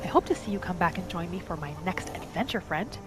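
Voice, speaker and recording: A woman narrating her game roleplay in character, with a gentle, musing manner as if talking to herself and her pets. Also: lowish voice, lively intonation, quick pace. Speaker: roleplay gaming host. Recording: music behind the voice. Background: background music.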